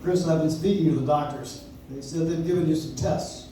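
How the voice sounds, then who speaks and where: Earnest, loyal lawyer played by a man, soft-edged higher voice, stage radio drama, recorded far off in an echoing room. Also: steady tone, fairly quick, natural voice. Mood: earnest concern. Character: earnest, loyal young lawyer pleading with friend. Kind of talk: live stage radio play. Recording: roomy, distant audience recording with noise.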